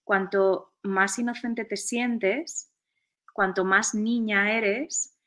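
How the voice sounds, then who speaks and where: A woman in her forties, a guest on a spiritual video-call chat, who explains her ideas calmly and slows down for key points. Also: mid-range voice. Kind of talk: reflective spiritual conversation. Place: home room, indoors. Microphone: video call webcam.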